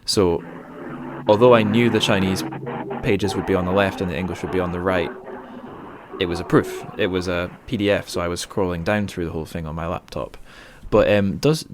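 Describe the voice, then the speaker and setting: Male podcast host, low voice, casual interviewing, feels his way into questions and self-corrects. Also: steady tone, brisk, noisy background.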